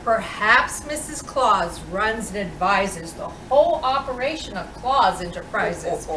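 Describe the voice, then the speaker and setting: Expressive woman storyteller, very animated pitch. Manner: expressive, deliberate storytelling with dramatic pauses. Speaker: storytime reader playing Mrs. Claus. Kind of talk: reading a children's picture book aloud. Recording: roomy, distant microphone with clear background noise.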